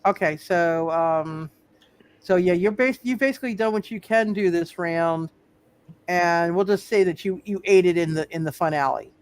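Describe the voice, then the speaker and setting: A man with a high-pitched voice runs a tabletop game as game master. He speaks casually and haltingly, stammering and restarting phrases while he works through the rules. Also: smooth. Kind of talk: casual live tabletop superhero game talk, working out rules.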